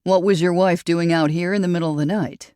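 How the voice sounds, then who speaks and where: A tall, composed detective who keeps his questions friendly and level, then turns blunt, as an audiobook narrator voices him. A mid-pitched voice, close and dry on the mic. Crime audiobook. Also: steady tone, brisk, warm tone, clear, resonant tone, dry recording, close to an everyday voice. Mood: probing. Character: tall male police detective, calm and probing.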